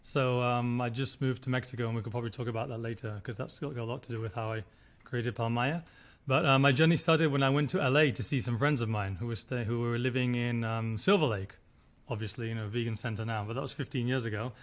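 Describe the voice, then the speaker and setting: Man, podcast guest, rapid, rambling storytelling. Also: mid-range voice. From Spain. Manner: rambling, many restarts and filler words. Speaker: resort founder, podcast guest. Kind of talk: casual interview storytelling.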